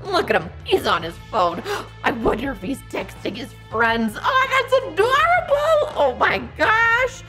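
A woman's voice: sing-song, normal speed, few pauses, a bit bright, faint music behind, low-quality recording. A woman voicing an entitled, bossy Karen villain who is whiny and demanding. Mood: gushing. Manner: whiny, shrill, demanding, bursts into squeals and cackles. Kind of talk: Roblox roleplay skit voice acting.

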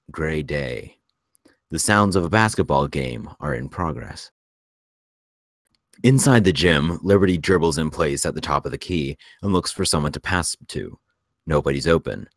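A man's voice: rumbling low, lively intonation, measured pace, creaky, a bit bright, no room sound, clean studio sound. A man narrating stage directions from a script in a plain reading style.

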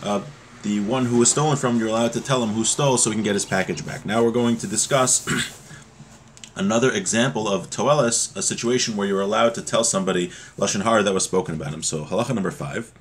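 A man in his thirties teaches religious law informally, explaining texts in a halting, repetitive way, recorded on a computer mic in a small room at home. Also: lowish voice, slight background noise. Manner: halting, explanatory, repeats points. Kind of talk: informal text study, reading Hebrew passages and explaining them.

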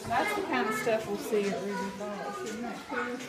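High-voiced woman in an echoing cave. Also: moderate pace, far from the mic, a loud crowd, very noisy. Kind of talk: casual chat. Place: inside a dark cave on a walking tour. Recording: very echoey cave, loud background music.

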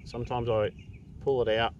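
Middle aged aussie bloke talking through fishing stuff casually, unhurried, out on the water with background noise. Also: male voice, mid-range voice, steady tone, lo-fi sound. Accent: Australian. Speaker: fishing vlogger. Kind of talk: casual tutorial chat while fishing. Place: outdoors in a kayak on open water.

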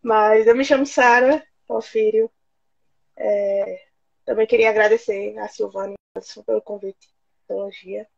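Hoarse woman with a cold, gravelly. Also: mid-range voice, fairly even intonation, moderate pace. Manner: soft, slightly shy. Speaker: writer guest. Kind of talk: casual video-call interview. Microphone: video call from home. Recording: dull lo-fi call audio.

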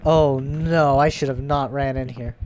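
A young man with a stuffy nose, chatting and reacting out loud to scares as he plays a horror game at home. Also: mid-range voice, fairly even intonation, measured pace, slight background noise. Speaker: gaming YouTuber. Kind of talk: horror game let's play commentary. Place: home gaming room, indoors.

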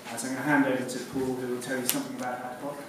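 A man's voice: medium pitch, level tone, rapid-fire. A man in his forties chairing an event, introducing speakers in a semi-formal, slightly hesitant way. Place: conference room, indoors. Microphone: lectern mic, picked up by distant camera. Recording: very echoey room, distant, noisy background.

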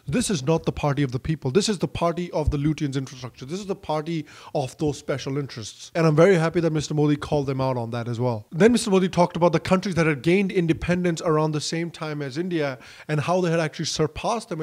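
A man's voice: fairly high pitch, a little mellow. A man in his thirties giving emphatic, combative political commentary from a small home studio into a mic on a stand. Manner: emphatic, combative, gestures with both hands. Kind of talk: opinionated political commentary to camera. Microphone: studio mic on stand in front of him.

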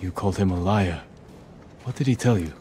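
An actor playing a stoic, disciplined samurai in a video game, measured, serious and commanding. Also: male voice, sits low, mellow, a little hiss or hum, lightly acted. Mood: serious, strategic. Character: stoic, disciplined adult male samurai warrior. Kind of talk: video game cutscene acting. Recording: music behind the voice. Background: music.

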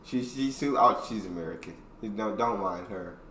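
A man's voice: on the low side, normal speed, sharp tone, echoey room, a little hiss or hum. A young man hosting a reaction video, chatting casually with slangy asides over a headset mic.

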